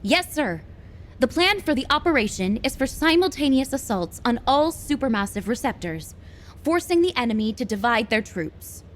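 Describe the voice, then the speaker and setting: A woman's voice: on the high side, close to an everyday voice. Woman voicing a formal young briefing officer. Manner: precise and formal, stammers when flustered. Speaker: operations officer. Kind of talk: game cutscene voice acting.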